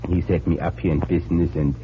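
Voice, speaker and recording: An actor playing a troubled, courteous immigrant diamond cutter with a continental European accent and hesitant, repetitive phrasing, in 1940s radio style. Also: male voice, sits low, fairly even intonation, fast, lightly acted. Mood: grateful, sorrowful. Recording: dull, noisy 1940s radio recording.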